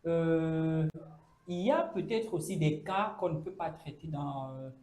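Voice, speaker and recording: A man's voice: a little high, unhurried, a little mellow. A man, chatting as a guest on a campus show, hesitant, with many fillers. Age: 30s. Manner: hesitant, many fillers, acts out quoted speech. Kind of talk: casual debate on a campus show. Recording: echoey room.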